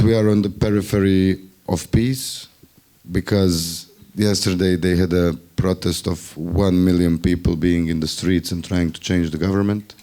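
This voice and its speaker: A man from Bosnia speaks up in a group discussion, his voice low and mellow. He has a Bosnian accent and a wry, ironic way of talking, and now and then he stops to look for the right word. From Bosnia and Herzegovina. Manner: wry, ironic, searches for words. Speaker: festival workshop participant. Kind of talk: spontaneous discussion remarks.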